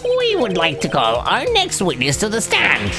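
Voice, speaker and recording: A man voicing a nervous, desperate courtroom prosecutor from a video game, stammering through high-strung protests. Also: huge pitch swings, normal speed, heavily acted. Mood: nervous insistence. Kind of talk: dramatic reading of a video game. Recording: game music behind the voice.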